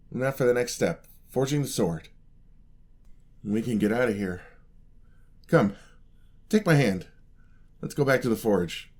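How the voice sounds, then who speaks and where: Man playing a sweet, slow-spoken young paladin. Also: fairly low pitch. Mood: caring, grateful. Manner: polite, earnest, gently encouraging. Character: sweet, clumsy, eager young male paladin apprentice. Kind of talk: fantasy ASMR roleplay, speaking to the listener.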